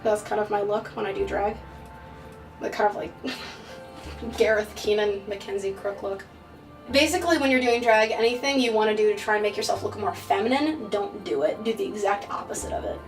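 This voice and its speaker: A woman's voice: mid-range voice, normal speed. A young woman, a drag king artist, explains her makeup routine in a casual, offhand way, joking about herself as she goes. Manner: offhand, joking, self-deprecating. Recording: distant mic with music behind.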